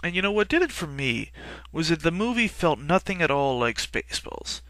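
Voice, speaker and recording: A man's voice: fairly high pitch, sing-song, normal speed, fairly bright tone, no room sound, low-quality recording. A man giving sarcastic review commentary in a teasing, emphatic way. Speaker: comic reviewer.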